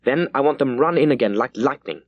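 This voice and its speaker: A man's voice: mid-range voice, lively intonation, fairly quick, dry recording, highs cut off, voice pushed up, lightly acted. A man performs a commanding naval captain from a historical audiobook. He is curt and decisive, throwing out clipped, urgent orders in a dry, close recording. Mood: brisk, excited. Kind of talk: dramatised audiobook character.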